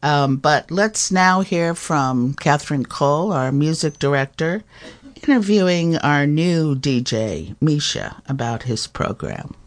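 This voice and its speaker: A woman host gives community radio updates at a slow, deliberate pace. She pauses often with "um" and keeps a friendly, even tone. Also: low voice. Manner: slow and measured, with many um fillers. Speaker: radio station manager and host. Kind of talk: casual radio chat.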